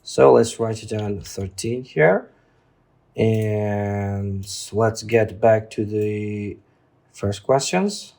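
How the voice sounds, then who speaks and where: Slow, halting male tutor. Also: fairly low pitch, vocal fry, warm tone. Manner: halting, often checks with "right?". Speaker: English exam tutor. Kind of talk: informal lesson, walking through a reading test.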